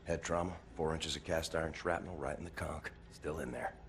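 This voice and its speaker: An actor playing a gruff, battle-scarred American soldier in a video game cutscene, terse and deadpan, with a gravelly voice. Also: male voice, fairly quick. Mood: deadpan, weary. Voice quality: gravelly, low.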